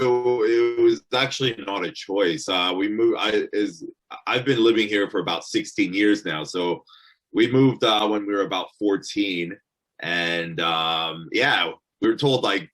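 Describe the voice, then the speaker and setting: A man in his thirties with a Canadian accent, speaking as a musician guest over a video call. His voice is low but bright and crisp, and he talks hesitantly, full of ums and uhs. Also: a little slow, rough recording. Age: 30s. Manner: hesitant, many fillers.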